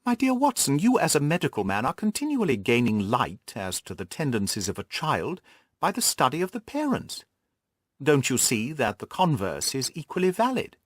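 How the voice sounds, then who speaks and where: A man acts out a shrewd male detective from an audiobook story. He is brisk and commanding, reasoning things out emphatically with very lively swings of pitch in a close, dry recording. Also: no echo. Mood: analytical, assured. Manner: emphatic, deductive explaining and giving orders. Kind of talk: audiobook character dialogue.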